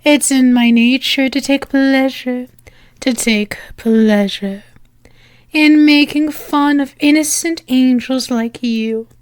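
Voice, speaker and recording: A woman playing a flirtatious female demon in an ASMR roleplay, teasing and sultry, breathy and often slipping into a whisper, close to the microphone. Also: sits high, steady tone, dry recording. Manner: sultry, teasing, drawn-out with lingering pauses.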